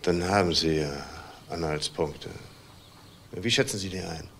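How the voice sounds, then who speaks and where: A man's voice: low-pitched, measured pace. Middle-aged man, crime drama, controlled, probing, clipped questions, faint background noise. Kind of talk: screen crime drama dialogue.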